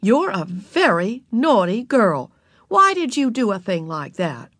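A firm but fair storybook mother, as a woman narrator plays her. Her mid-pitched voice is measured and admonishing, with expressive intonation in a dry recording. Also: dry recording. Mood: alarmed, scolding. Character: firm, fair-minded mother of two girls.

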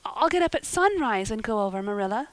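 Woman voicing an earnest, dramatic, high-pitched young girl. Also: lively intonation, normal speed, dry recording, close to an everyday voice. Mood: reluctant, pleading. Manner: gushing, wordy, romantic and pleading.

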